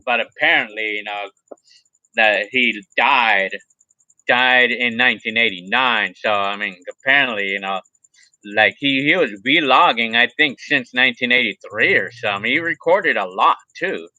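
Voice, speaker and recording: A man in his forties chats on a live stream and drifts from one viewer comment to the next. His tone is sharp and tense, and he keeps circling back over the same thoughts. The sound is dull and lo-fi, close and dry with no room around it. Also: mid-range voice, moderate pace, no room sound. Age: 40s. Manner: rambling and repetitive, restarts phrases. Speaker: livestream vlogger. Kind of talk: casual live chat reading viewer comments.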